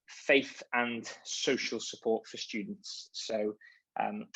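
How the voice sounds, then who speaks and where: A man talks to new students in an easy, chatty way, encouraging them along. His voice sits at a middle pitch. The audio is dull and lo-fi, as over a video call. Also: a bit bright. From Liverpool, England. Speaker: university chaplain and events coordinator. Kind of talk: informal online welcome talk.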